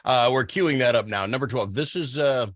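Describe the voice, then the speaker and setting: A man's voice: medium pitch, moderate pace, fairly bright tone, no room sound. A man hosting a radio show with casual banter about songs, blunt and argumentative in his opinions.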